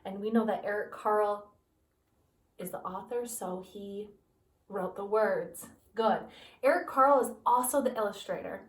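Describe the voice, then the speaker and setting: Kindergarten teacher reading to children. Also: female voice, mid-range voice, measured pace, far from the mic. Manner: gentle and explanatory, with simple asides to children. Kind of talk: storytime read-aloud for young children. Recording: echoey room, voice off-mic.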